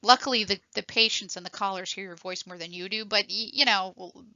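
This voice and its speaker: Bright-voiced, rambling woman nurse presenter. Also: mid-range voice, brisk, no echo, lo-fi sound. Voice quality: self-described voice like a frog puppet. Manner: rambling, enthusiastic, many fillers and restarts. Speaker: telephone triage nurse and course presenter. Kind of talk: casual motivational presentation.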